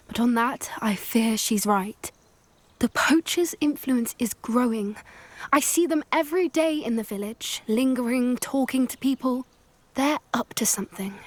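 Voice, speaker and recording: A woman playing an earnest, secretive teenage schoolgirl who loves magical beasts in a video game, with a gentle manner and a British accent. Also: a little high, measured pace, no room sound. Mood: earnest, worried. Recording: game music underneath. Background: game music.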